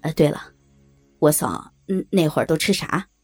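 A woman's voice: deep voice, moderate pace, a little mellow, breathy edge, faint music behind, voice pushed down. A woman voicing a nervous young village husband with a Northeastern Chinese accent, talking shakily and hesitantly. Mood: shaky. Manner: shaky, hesitant.

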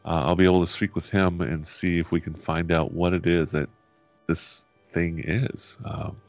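Guy doing a polite temple priestess in a tabletop game, hesitant, real low voice, muffled w/ music behind. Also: flat delivery, normal speed, no echo. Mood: hesitant, helpful. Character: courteous helpful female temple priest addressing adventurers.

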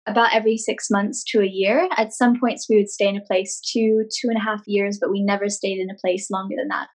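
A woman's voice: medium pitch, little pitch movement, brisk. A woman in her thirties from California, a guest talking casually and chattily, with many fillers. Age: 30s. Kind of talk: casual interview chat.

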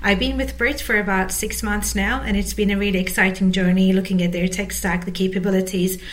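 A woman's voice: medium pitch, steady tone, a little fast, low-quality recording. Woman in her forties, interview guest. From Turkey. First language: Turkish. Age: 40s. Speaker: interview guest, technology executive. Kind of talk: conversational interview about career.

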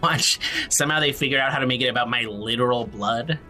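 Audio drama role: tired, wisecracking young man on the run, sharp tone, expressive, casual and sardonic, light music beneath. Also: medium pitch, fast talker. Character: tired, sardonic, joking young fugitive from family. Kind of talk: naturalistic audio drama acting.

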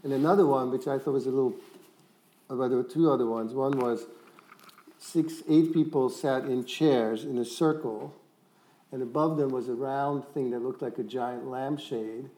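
A man's voice: medium pitch, fairly even intonation, normal speed, mellow, rough recording. A man on a discussion panel, recounting an anecdote in a rambling, storytelling way.

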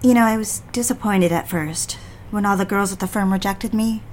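A woman's voice: mid-range voice, normal speed, darkish tone, a little hiss or hum, close to an everyday voice. Woman acting an earnest, devoted bride-to-be. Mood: earnest, defiant. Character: devoted, anxious young bride-to-be craving respectability. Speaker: actor in an audio drama. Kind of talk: earnest period audio drama acting.

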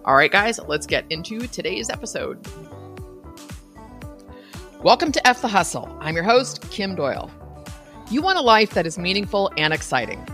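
A woman's voice: medium pitch, big pitch swings, normal speed, pauses often, fairly bright tone, faint music behind. A middle-aged woman hosting a podcast, chatting casually with frequent laughs and asides. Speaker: podcast host.

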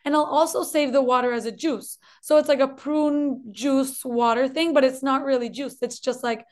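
High-voiced dietitian with slight Colombian accent. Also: female voice, fairly even intonation, normal speed. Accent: slight Colombian Spanish. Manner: friendly, explanatory, repeats for emphasis. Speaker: dietitian guest. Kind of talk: explanatory interview talk.

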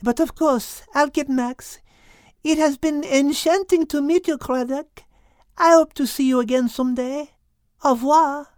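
Elegant, high-voiced French lady cat. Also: measured pace, darkish tone, no echo, studio quality. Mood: gracious. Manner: polite, precise, gracious. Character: elegant, composed, clever female French cat.

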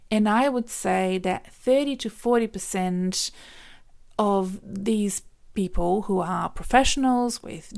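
A woman career coach giving direct, practical advice in a casual monologue, with a voice she calls squeaky. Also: vocal fry, darkish tone. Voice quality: says she has a squeaky voice.

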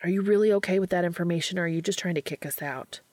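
A woman's voice: lowish voice, rapid-fire, darkish tone, dry recording, close to an everyday voice. A woman voicing a squeamish, dumbfounded man with an incredulous manner in a story reading. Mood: suspicious.

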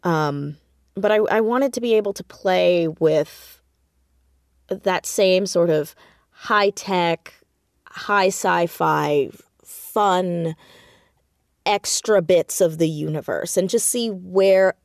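A woman with a slightly low voice talks casually as an interview guest. She is animated and self-deprecating, quick to laugh at herself. The sound is dry, with little room in it. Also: dry recording. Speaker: podcast guest, audio drama creator and writer.

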